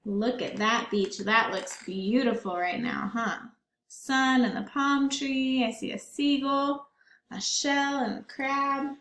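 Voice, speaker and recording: A woman's voice: high voice, takes it slowly, echoey room. A woman teacher reading a picture book aloud to children, often stopping to ask them questions. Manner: warm, questioning, child-directed.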